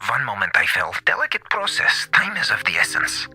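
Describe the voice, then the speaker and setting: An actor playing a coldly pragmatic, scheming space station scientist in a radio drama, speaking in clipped, sinister phrases with dropped articles. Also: male voice, lowish voice, wildly swooping pitch, brisk, sharp tone, slightly rough, no room sound, soft background music, full character voice. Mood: terse, focused.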